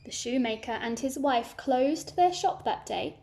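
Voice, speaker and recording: A woman's voice: sits high, lively intonation, a little slow, darkish tone. A woman reading a children's story aloud, narrating expressively and adding simple asides for young listeners.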